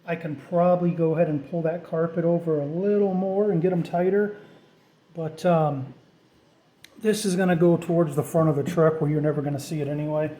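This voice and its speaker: A middle-aged man with a fairly high but mellow, soft-edged voice talks through a DIY job. He is informal and self-deprecating, thinking aloud as he shows each step to viewers. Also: steady tone, a little fast, rough recording. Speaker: DIY vlogger. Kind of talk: casual hands-on tutorial narration.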